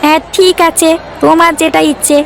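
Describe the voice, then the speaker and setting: A man's voice: extremely high pitch, fairly even intonation, a little fast, gravelly, fairly bright tone, some chatter behind, slight background noise, exaggerated voice. A man voicing a jovial, teasing village shopkeeper in a cartoon. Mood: chatty. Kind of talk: cartoon voice acting.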